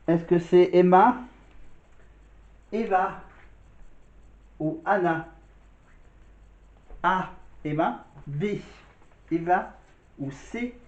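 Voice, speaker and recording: A man's voice: high-pitched, very slow, long pauses. A man hosting a vlog, talking casually and directly to viewers with quiz questions and commentary, often repeating himself. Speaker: vlog host. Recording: dull, roomy sound.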